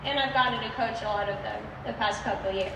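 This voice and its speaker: A woman's voice: medium pitch, quick pace, vocal fry. An eighteen-year-old American woman giving a prepared ceremony speech as a youth team captain. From United States (Illinois). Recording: echoey outdoor PA from far off, noisy.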